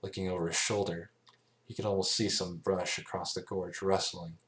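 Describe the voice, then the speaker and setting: A man reading scripted story narration as an amateur, in a halting way, stumbling and rereading phrases. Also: deep voice, flat delivery, fairly bright tone. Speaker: amateur fan fiction reader.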